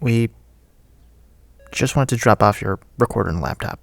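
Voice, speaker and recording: An actor playing a friendly, teasing friend with a hesitant, chatty manner in a naturalistic audio drama. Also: male voice, fairly low pitch, animated, moderate pace, gravelly, dry recording. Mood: friendly, nervous, teasing. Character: friendly, teasing male friend leaving a recorded message.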